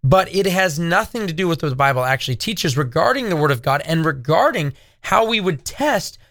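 A man co-hosting a casual discussion, speaking earnestly and slowing down to make his points. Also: fairly high pitch, lively intonation, fairly bright tone, dry recording, clean studio sound. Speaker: co-host.

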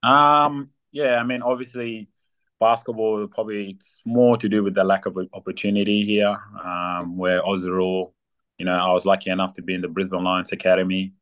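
A young man from South Sudan, a guest athlete chatting casually in an interview, relaxed and full of ums. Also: sits low, normal speed. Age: young adult. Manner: relaxed, many ums and fillers.

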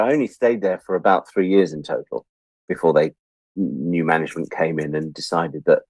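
Man, sixties, British accent, low pitch, dry recording, self-deprecating, conversational storyteller, interview guest. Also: a little mellow, dry recording, low-quality recording. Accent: British English. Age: 60s. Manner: chatty, self-deprecating, acting out remembered dialogue. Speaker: interview guest, writer-producer. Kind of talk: animated anecdotal storytelling in an interview.

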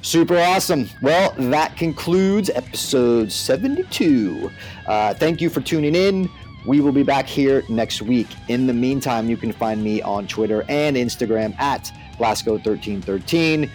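A man's voice: medium pitch, lively intonation, measured pace, darkish tone, faint music behind. A man hosting a podcast, speaking to listeners and reading out prepared material. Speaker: podcast host.

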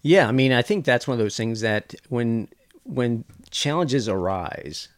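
A man's voice: medium pitch, expressive, a little slow. A man co-hosting a podcast, chatting casually and rambling with lots of fillers. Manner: rambling, with many fillers and restarts. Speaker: podcast co-host.

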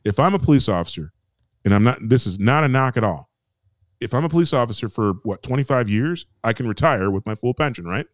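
A man's voice: mid-range voice, expressive, fast, no room sound, studio quality. An American man co-hosting a podcast, ranting in casual chat, emphatic and repetitive, with restarts and swearing. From United States. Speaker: podcast co-host.

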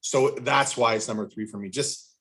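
A man's voice: medium pitch, moderate pace, fairly bright tone. A middle-aged man talking casually as one of a podcast panel.

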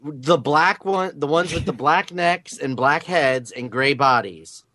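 A man's voice: fairly high pitch, slow, no room sound. A man co-hosting a podcast, joking and swearing in casual banter. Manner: animated, swears. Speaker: podcast co-host.

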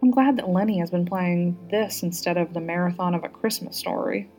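A woman's voice: lowish voice, fairly quick, a little mellow, soft background music. Reader playing earnest woman leader in an audiobook. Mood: musing. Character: earnest, warm-hearted woman national leader. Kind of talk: audiobook character dialogue.

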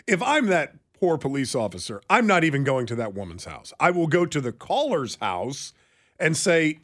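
A man's voice: fairly high pitch, big pitch swings, normal speed, a bit bright. An American man co-hosting a radio show in casual banter, telling stories in a wry, rambling way.

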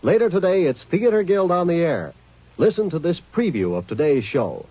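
A man's voice: on the high side, sing-song, normal speed, dry recording. A man reading an upbeat network promo for upcoming radio shows. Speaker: network announcer. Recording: dry muffled old radio recording.

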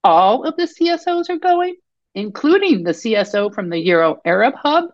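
A woman's voice: a little high, expressive, normal speed, no room sound, highs cut off, pitched above the performer's own voice, natural voice. A woman voicing a young wife who answers cautiously and defensively while protecting her husband.

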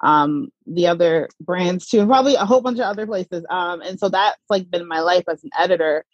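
A chatty woman in her thirties from the Southern United States, talking casually as a podcast guest, with plenty of fillers and light laughter. Her voice sits a bit low for a woman. It comes through dull and lo-fi, in a dry space with no room sound. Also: fairly quick, slightly rough, dry recording. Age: 30s. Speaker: podcast guest, platform creator. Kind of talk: casual interview answers.

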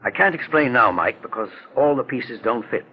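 Calm, authoritative investigator, slightly rough voice, deliberate delivery, dulled vintage radio sound. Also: male voice, mid-range voice. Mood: brisk, purposeful. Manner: measured, earnest, explanatory. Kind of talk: 1940s radio detective drama acting. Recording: muffled vintage 1940s radio recording.

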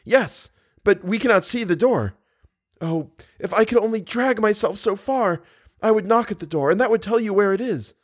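A man's voice: sits high, normal speed, pitched above the performer's own voice. A man voicing a frightened captive young woman in an audiobook, breathless, urgent and pleading. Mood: anxious, straining.

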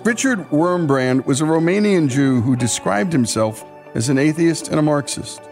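A man hosting a radio show, giving measured scripted narration. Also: medium pitch, darkish tone. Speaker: radio host.

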